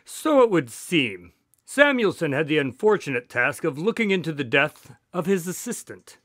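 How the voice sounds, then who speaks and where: High-voiced, expressive gentleman doctor sidekick. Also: normal speed, no echo, studio quality. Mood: genial, storytelling. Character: courteous, curious doctor, Holmes's male sidekick and storyteller. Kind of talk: radio drama acting.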